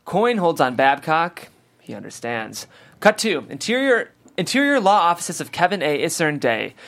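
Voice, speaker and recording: A man's voice: fairly high pitch, big pitch swings, normal speed, creaky, a bit bright. A man reading stage directions as scripted narration at a table read.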